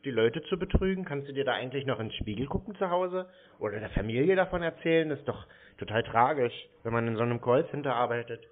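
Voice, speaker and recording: A man's voice: mid-range voice, lively intonation, quick pace, few pauses. A man chatting casually and confrontationally on a phone line, taunting and mocking the other person. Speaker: scam baiter on a prank call. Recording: narrow, tinny phone line.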